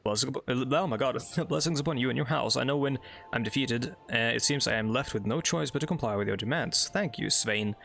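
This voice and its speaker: Chatty Norwegian guy, gravelly voice. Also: mid-range voice, fairly quick, fairly bright tone. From Norway. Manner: rambling, filler-heavy, chatty asides while reading game text. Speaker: let's play host. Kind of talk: casual gameplay commentary.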